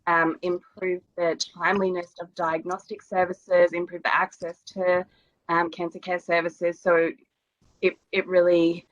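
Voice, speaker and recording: A woman gives short, agreeable testimony at a hearing. Her voice sits fairly low and sounds dull and lo-fi through the video call. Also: level tone, unhurried. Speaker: witness at a health hearing.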